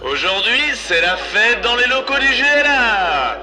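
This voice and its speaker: A man playing an excited live news reporter at a party in an audio drama, loud and excitable. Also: very high voice, unhurried, exaggerated voice. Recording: crowd and music behind voice.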